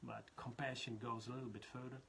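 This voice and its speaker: Dutch trainer, bright forward voice, faint background noise, chatty live session. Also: male voice, mid-range voice, level tone, quick pace, creaky. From Netherlands. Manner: rambling, many restarts and laughs. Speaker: leadership trainer. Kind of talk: casual live talk.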